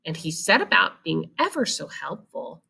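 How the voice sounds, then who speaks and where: A woman's voice: lowish voice, sing-song, moderate pace, vocal fry, pushed and bright, breathy edge. A woman storyteller reading a children's picture book expressively and explaining it to young listeners. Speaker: read-aloud storyteller.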